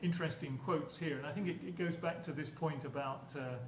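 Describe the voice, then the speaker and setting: A man's voice: fairly high pitch, a little fast. A man giving an academic conference lecture as a professor, explaining hesitantly and often repeating his words. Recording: echoey room, distant mic, background noise.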